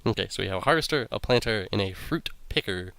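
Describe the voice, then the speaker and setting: A young man hosting a game playthrough, talking casually with a raspy, sick-sounding voice and rambling with lots of ums and uhs. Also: fairly low pitch, expressive, fast, noisy background. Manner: rambling, many ums and uhs, reads quest text aloud. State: raspy voice from illness. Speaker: let's play host.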